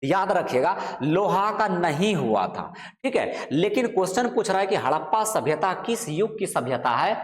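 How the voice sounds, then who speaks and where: A man's voice: high-pitched, very animated, moderate pace, few pauses. A man with a Bihari accent teaching an exam-prep lesson to students, repeating himself and often adding check phrases. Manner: repetitive, with frequent check phrases. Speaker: history teacher. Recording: echoey hall-like reverb.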